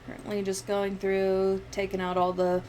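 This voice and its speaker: A woman's voice: mid-range voice, completely flat delivery, moderate pace, warm tone. A woman hosting a livestream, chatting casually and informally to her viewers. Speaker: livestream host. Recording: clear background noise.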